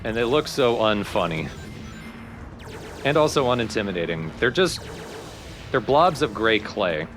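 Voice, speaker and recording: A man's voice: fairly low pitch, sing-song, a little slow, pauses often. A man co-hosting a let's play with casual, wry, mock-complaining game banter. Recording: game music behind the voice.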